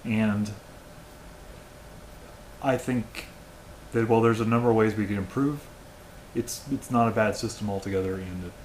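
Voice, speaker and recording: A man's voice: on the low side, steady tone, normal speed, pauses often, darkish tone. A man in his thirties casually answering questions as a research crew member. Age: 30s. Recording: echoey room, faint background noise.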